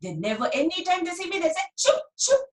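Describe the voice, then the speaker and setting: A woman's voice: very high voice, wildly swooping pitch, quick pace, voice pushed up, exaggerated voice. A woman voicing a curious, impatient nine-year-old boy who pesters the adults with questions. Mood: eager, curious. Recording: roomy, from a distance.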